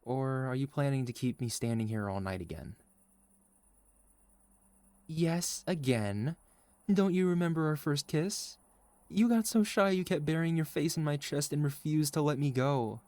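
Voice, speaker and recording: A man playing a sweet, caring, gently teasing boyfriend in a soft, reassuring ASMR roleplay. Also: on the high side, lively intonation, a little slow, dry recording. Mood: affectionate, playful. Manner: soft, tender, reassuring, playful. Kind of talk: ASMR boyfriend roleplay, intimate scripted monologue.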